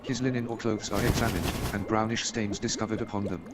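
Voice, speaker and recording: A man's voice: fairly low pitch, fairly even intonation, a little fast, close to an everyday voice. A man playing an eager, self-assured amateur detective and chemist in a staged radio play, speaking in an emphatic, pedantic way. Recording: noisy with watery background sound.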